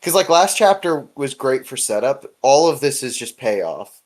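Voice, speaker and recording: A man's voice: medium pitch, very animated, moderate pace. A man hosting a casual podcast, talking in a loose, rambling way with chuckles. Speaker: podcast host.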